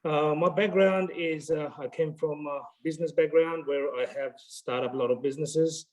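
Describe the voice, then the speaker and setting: A man's voice: a little high, steady tone, moderate pace, a little mellow. A man, a company director, introducing himself nervously and hesitantly with many fillers over a video call. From Bangladesh.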